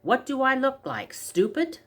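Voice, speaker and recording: A woman's voice: sits high, big pitch swings, a little slow, a bit bright, pitched above the performer's own voice, full character voice. Woman voicing a blunt, dim fairy-tale lad. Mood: wisecracking. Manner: blunt, wisecracking. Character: dull cheeky young man, fairy-tale simpleton.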